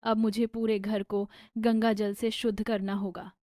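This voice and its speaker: A woman's voice: medium pitch, flat delivery, a little slow, mellow, no room sound, clean studio sound, close to an everyday voice. A woman voicing a pious middle-aged Indian mother-in-law who scolds in dramatic, scandalized exclamations for a moral-story cartoon. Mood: scandalized, scolding. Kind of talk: animated moral-story dialogue.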